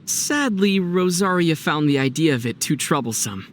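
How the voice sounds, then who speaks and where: A young man playing a calm, teasing adventurer hero in a video game, easygoing and dry in his delivery. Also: on the high side, moderate pace, very noisy, natural voice. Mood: thoughtful.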